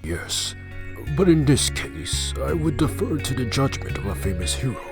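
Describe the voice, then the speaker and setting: A man voicing a dignified, fair-minded village head in a cartoon fandub, formal, measured and courteous. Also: mid-range voice, very animated, soft background music. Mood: earnest, pleading. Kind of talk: cartoon comic fandub acting.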